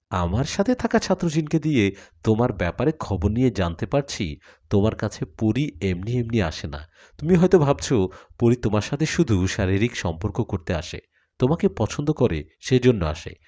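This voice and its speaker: Fatherly holy healer played by a male narrator, solemn and measured, dark mellow tone, audio story. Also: fairly low pitch.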